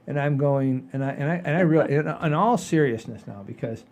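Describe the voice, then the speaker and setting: An older man hosting a podcast, telling stories in a folksy, rambling, animated way with a husky voice. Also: mid-range voice, brisk, highs cut off. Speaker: podcast host. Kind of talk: casual chat and storytelling.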